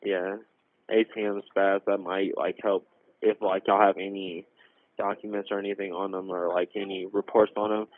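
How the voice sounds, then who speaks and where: A young man making an emergency call over a telephone line, giving a halting, informal, rambling account. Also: low voice, little pitch movement, no room sound. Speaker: caller reporting a robbery. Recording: tinny narrowband emergency phone line.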